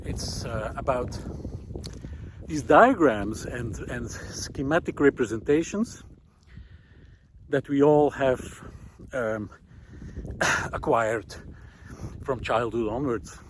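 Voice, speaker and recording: Thoughtful, halting man with outdoor background noise. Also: medium pitch. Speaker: host of a walking video series. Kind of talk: reflective monologue while walking. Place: outdoors on a country road in hilly farmland. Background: outdoor ambient noise.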